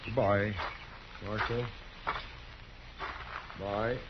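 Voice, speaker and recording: A wounded, childlike frontier rancher in a 1950s radio western. He talks very slowly and haltingly in a lowish, plain voice. The recording is old and muffled. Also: little pitch movement, noisy background. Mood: subdued, grateful. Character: slow, simple, gentle, wounded frontier rancher.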